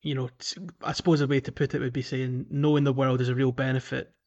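A man's voice: medium pitch, little pitch movement, fast, a little mellow, highs cut off. A man chatting casually as a gaming podcast co-host, hesitant and rambling, with frequent chuckles. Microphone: remote call.

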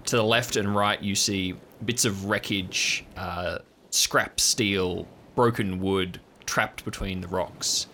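Bright, low-pitched man as game master. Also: steady tone, slow, a little hiss or hum. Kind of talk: hosting and narrating improvised audio drama.

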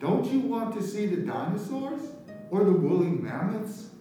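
A man playing a campy evil-genius inventor, making a theatrical, drawn-out sales pitch in an improvised radio comedy sketch. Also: sing-song, mellow. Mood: grandiose, scheming. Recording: very echoey room, distant mic, music behind.

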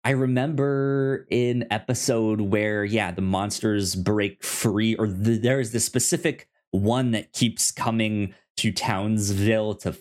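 A man's voice: fairly low pitch, steady tone, slow pace, few pauses. A man co-hosting a film podcast, talking casually and off the cuff, stammering and repeating the starts of words. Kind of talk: casual, unscripted recommendations.

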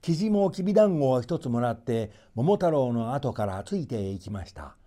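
A man's voice: mid-range voice, big pitch swings, brisk, mellow. A man narrating a folk tale as a storyteller, in a theatrical scripted reading.

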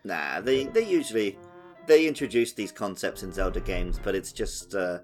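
Lively, low-voiced man bantering on a podcast. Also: normal speed, soft background music. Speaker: podcast co-host. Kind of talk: casual banter about a video game.

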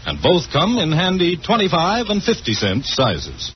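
A man delivering a scripted 1940s-style radio commercial as a pitchman. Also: huge pitch swings, a little slow. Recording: dull old radio transcription recording.

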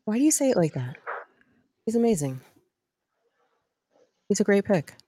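A woman's voice: mid-range voice, sing-song, moderate pace, warm tone, a little hiss or hum. A woman co-hosting a casual draft chat, playful and a little nasal from a stuffy nose. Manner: playful, laughing, slightly nasal. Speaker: podcast co-host.

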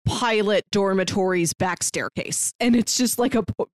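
A woman's voice: mid-range voice, normal speed, no echo, clean studio sound. A woman co-hosting a podcast, chatting casually about a book. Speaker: podcast co-host.

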